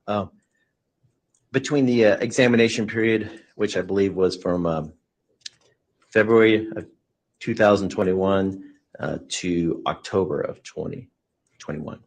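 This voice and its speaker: A man's voice: low-pitched, a little slow, pauses often. A man giving expert testimony in a courtroom, hesitant and full of fillers, explaining technical terms carefully. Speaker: expert witness.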